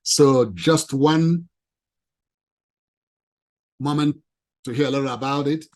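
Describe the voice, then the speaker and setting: A man with a non-native English accent giving an animated, emphatic presentation to a professional audience. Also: medium pitch, slow pace. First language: not English. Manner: emphatic, lively, addresses ladies and gentlemen.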